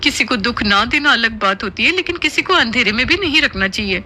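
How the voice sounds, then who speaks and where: A woman's voice: on the high side, expressive, fairly quick, bright, forceful tone, heavily acted. A woman voicing a bossy, affectionate middle-aged mother-in-law in a cartoon moral story, emphatic and motherly. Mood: scolding.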